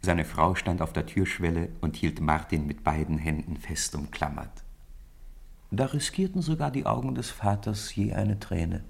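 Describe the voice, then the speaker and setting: A man narrating a radio play as a gentle, measured storyteller, with a gravelly, husky voice. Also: low voice, heavily acted. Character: measured, gentle male storyteller of a Christmas tale.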